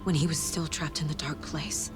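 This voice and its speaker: A woman's voice: low-pitched, fairly even intonation, moderate pace, close to an everyday voice. An actress playing a determined, unsettled woman in a horror video game, speaking with intensity. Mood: tense, resolute. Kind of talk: dramatic horror game monologue. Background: loud scary music.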